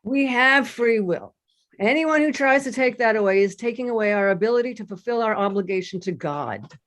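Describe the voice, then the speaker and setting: A woman's voice: fairly high pitch, expressive, brisk, a bit bright. A woman playing an earnest, assertive seminar student who argues declaratively in a staged play reading. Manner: declarative, argumentative.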